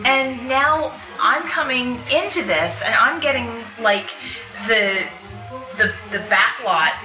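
A woman's voice: on the high side, animated, takes it slowly. A woman chatting informally on a live convention panel as a voice actor, often interrupting herself. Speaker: audio drama voice actor on a panel. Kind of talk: casual, animated chat. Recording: echoey, distant mic with clear music behind.

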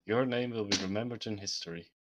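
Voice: medium pitch, fast, noisy background, natural voice. A player voicing a polite, admiring male Turkish professor with a formal manner.

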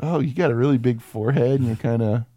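A man's voice: mid-range voice, quick pace, mellow, dry recording. A man in casual comedic chat as a podcast co-host, riffing irreverently and swearing freely.

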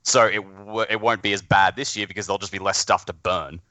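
Male conversational voice, slightly low, crisp bright edge, self-correcting casual delivery. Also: fairly even intonation, fast talker, dry recording. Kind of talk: casual chat.

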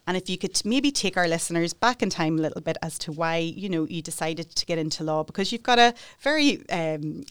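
Smooth low-voiced woman host. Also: no room sound, studio quality. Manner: friendly, scripted introductions. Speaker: podcast host. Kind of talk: podcast interview questions.

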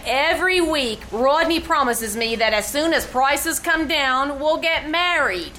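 A woman's voice: high voice, unhurried, sharp tone. An actress playing a naive, chatty young woman in an old-time radio comedy, plaintive and earnest. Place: live radio theater stage. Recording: noisy live theater stage recording.

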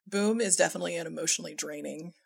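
A woman's voice: lowish voice, rapid-fire, creaky, slightly rough. A woman speaking informally as a director telling work anecdotes in an interview, often quoting herself and chuckling. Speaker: podcast guest, audio drama director and filmmaker.

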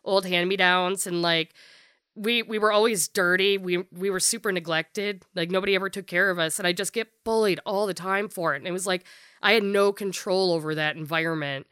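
Deep-voiced woman, frank and blunt. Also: fairly even intonation, fairly quick, a bit bright, clean tone, no echo, clean studio sound. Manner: frank and blunt, swears freely, many "like" fillers. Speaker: podcast guest. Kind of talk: casual candid conversation.

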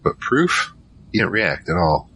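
Naive young man narrating his own adventure male actor, bottom-register yet bright, halting and informal, audio drama. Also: deep voice, big pitch swings, a little hiss or hum, exaggerated voice, rough recording. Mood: recounting, casual.

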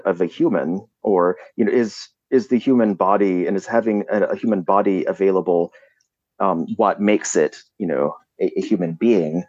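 A man with a low voice talks in a reflective, halting way, circling back and restarting as he reaches for words. His recording sounds dry and close, with a dull, muffled tone. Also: darkish tone, dry recording. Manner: halting, many restarts and "you know" fillers. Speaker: podcast guest. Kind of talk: reflective conversational explanation.

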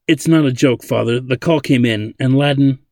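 A man's voice: mid-range voice, moderate pace, darkish tone, no echo, clean studio sound, natural voice. A man voicing a fiery, loyal robotic daughter in a narrated story, with an indignant, urgent manner. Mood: obedient. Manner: blurting, indignant, pleading.

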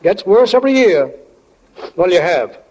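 Lively, high-voiced old small-town shopkeeper. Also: male voice, moderate pace, highs cut off. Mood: folksy, chatty. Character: folksy, chatty older small-town drugstore keeper, grumbling about progress. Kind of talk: 1940s radio drama acting. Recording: noisy, crackling 1940 radio broadcast.

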